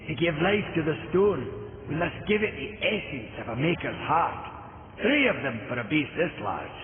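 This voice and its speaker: A man's voice: a little high, sing-song, moderate pace. A man playing a hearty, plain-spoken fantasy maker in a video game, earnest and emphatic. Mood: explaining. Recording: tinny band-limited game audio, heavy noise.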